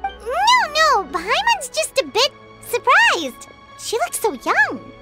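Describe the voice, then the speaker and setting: A woman voicing a tiny, excitable, childlike fairy companion with a squeaky voice in a video game. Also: takes it slowly, loud music behind the voice, full character voice. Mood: surprised, friendly. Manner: refers to self in third person, exclamatory.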